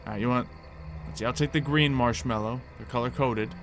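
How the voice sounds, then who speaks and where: A man giving casual gameplay commentary, thinking aloud in a mild, halting way. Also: mid-range voice, fairly bright tone, slight background noise. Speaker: gamer playing a Minecraft roleplay series. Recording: game music behind the voice. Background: game music.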